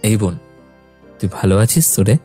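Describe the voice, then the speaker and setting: A man acting an affectionate, excitable younger brother from the city in a horror audio drama. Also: fairly low pitch, normal speed, soft background music, lightly acted. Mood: fond concern. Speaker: voice actor.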